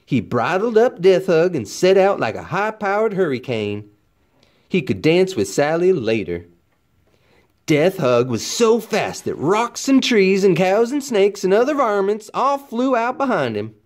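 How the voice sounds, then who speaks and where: A man's voice: sits high, very animated, a little slow. A man narrating a children's storybook expressively, with folksy, dramatic emphasis like a teacher reading aloud.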